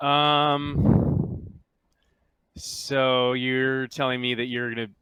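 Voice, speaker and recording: A man's voice: mid-range voice, flat delivery, very slow, a bit bright, clear, resonant tone, no room sound. A man acting as game master, talking players through a tabletop roleplaying game in casual, stop-and-start phrasing.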